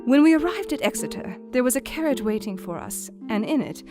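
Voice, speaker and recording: A woman playing a chatty, affectionate young wife reading out her period letter in an audio drama, expressive and gently formal. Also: a little high, brisk, natural voice. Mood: fond, contented. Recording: instrumental music under the voice.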